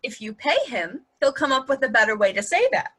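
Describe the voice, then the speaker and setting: Actress as blunt loyal partner, dry wit, pointed, higher-pitched, sharp-edged, stage table read. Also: female voice, lively intonation, a little fast, husky, exaggerated voice. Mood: wry. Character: blunt, dry-witted woman fiercely defending her partner. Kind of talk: virtual table read of a stage comedy-drama.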